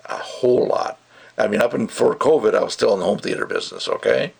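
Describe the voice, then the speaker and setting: An older man with a gravelly, creaky voice giving a casual, rambling review in an opinionated, emphatic way. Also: on the low side, expressive, fast. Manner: opinionated, rambling, emphatic, acts out mocking quotes. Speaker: audio gear reviewer. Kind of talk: casual ranting video review.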